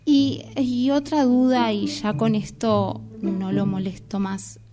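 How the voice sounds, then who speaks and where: A woman playing an earnest, talkative long-time therapy patient in an amateur radio play, with a Rioplatense Argentine accent and a halting, polite, apologetic manner. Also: medium pitch, steady tone, music in the background, natural voice. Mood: anxious doubt. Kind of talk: amateur radio theatre acting.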